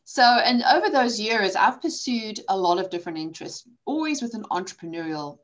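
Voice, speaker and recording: Guest voice: woman in her 40s from South Africa, candid and lively, mid-pitched storyteller. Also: brisk. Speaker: podcast guest, coach and author. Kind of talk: conversational interview.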